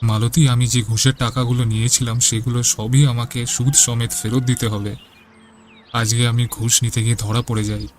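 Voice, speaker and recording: A man's voice: medium pitch, flat delivery, moderate pace, a little hiss or hum, lightly acted. Man acting a curt, hard-hearted cartoon son. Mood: worried. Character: hard-hearted, irritable grown son and husband.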